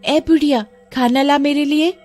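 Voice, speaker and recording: A woman voicing a possessed little girl who rudely shouts and demands sweets in a cartoon story. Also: high voice, fairly even intonation, moderate pace, soft background music, voice pushed up, natural voice. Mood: demanding. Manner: shouting, bossy.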